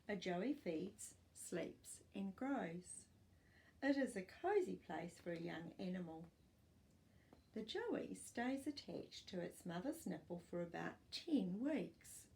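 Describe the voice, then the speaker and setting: An older woman reading a children's non-fiction book aloud as a storytime host, with expressive, deliberate delivery for young children. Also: medium pitch, vocal fry, slight background noise. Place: small furnished living room, indoors.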